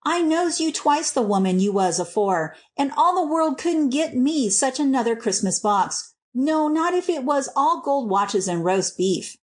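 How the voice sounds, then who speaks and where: A woman's voice: high voice, very animated, unhurried, few pauses, voice pushed up. A woman voicing a plain-spoken, devoted young working man in a storybook, with rustic dialect speech. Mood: possessive, fond.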